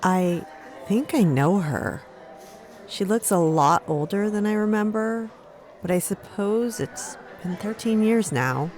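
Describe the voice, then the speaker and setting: A woman's voice: mid-range voice, expressive, takes it slowly, a little mellow, soft background music. A woman acting a guarded, reflective young storyteller in a fantasy audio drama. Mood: guarded, wistful. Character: woman tavern storyteller, twenties, recalling a hard youth.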